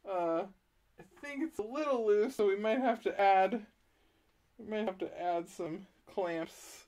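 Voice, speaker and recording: A woman's voice: medium pitch, a little slow, dark tone. A woman streaming live from her art studio, laughing often and fumbling with the setup as she chats. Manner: self-deprecating, giggly, fumbling with the tech. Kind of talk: casual live stream chat. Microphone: laptop, DSLR and chest-mounted webcam.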